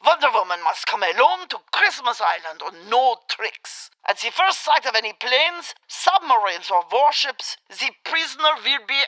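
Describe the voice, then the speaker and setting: A woman's voice: a little high, big pitch swings, normal speed, few pauses, sharp tone, exaggerated voice, poor audio. A woman playing a haughty, short-tempered villainess queen in a comedy radio play. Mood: menacing, commanding. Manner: imperious, exasperated, theatrical.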